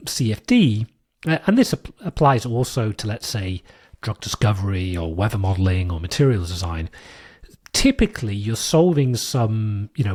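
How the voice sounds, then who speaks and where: A British man with a lowish, husky voice, worn hoarse by a cold, talks through a technical subject alone for his podcast. He thinks aloud as he goes, pausing on fillers and circling back to restart his sentences. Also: darkish tone. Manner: thoughtful, with frequent fillers and restarts. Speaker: podcast host. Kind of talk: solo explanatory podcast monologue.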